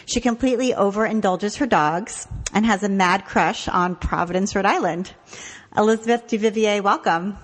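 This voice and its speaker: A woman's voice: mid-range voice, moderate pace. Woman podcast host with a reverberant sound. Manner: friendly, laughs readily, short affirming replies. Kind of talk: podcast interview hosting. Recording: long reverb on voice.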